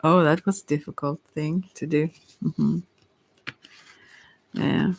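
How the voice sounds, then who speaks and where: Asmr tarot reading, slow n hesitant, lots of vocal fry, kinda searching for words. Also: mid-range voice, fairly even intonation, low-quality recording. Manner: hesitant, searching for words, with long thoughtful pauses. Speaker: tarot reader hosting a live session. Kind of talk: ASMR, improvised card reading.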